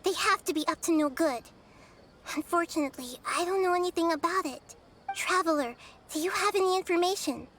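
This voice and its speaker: A woman voicing a gentle, wise young girl deity in a video game, speaking in a measured, earnest way. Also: very high-pitched, a little hiss or hum. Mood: troubled.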